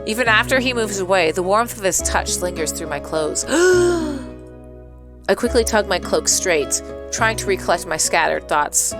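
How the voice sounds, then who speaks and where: A woman with a medium-pitched voice plays through a visual novel for an audience. She moves between reading narration aloud and casual, giggly commentary in a playful, theatrical manner. Game music is clearly heard behind her. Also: big pitch swings, measured pace. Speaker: gaming streamer.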